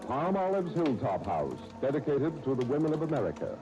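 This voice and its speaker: A man's voice: mid-range voice, sing-song, fairly quick, music in the background, low-quality recording. A man announcing and narrating a 1930s radio serial in a formal, dramatic storytelling manner.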